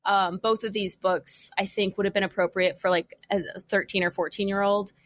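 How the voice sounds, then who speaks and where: A woman's voice: mid-range voice, level tone, moderate pace, fairly bright tone. A woman co-hosting a podcast, chatting casually about comic books. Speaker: podcast co-host.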